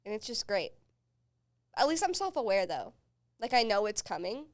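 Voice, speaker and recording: A woman's voice: mid-range voice, very animated, normal speed. A young woman hosting a podcast, telling casual stories with frequent laughs and swearing. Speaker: podcast host. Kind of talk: casual chat.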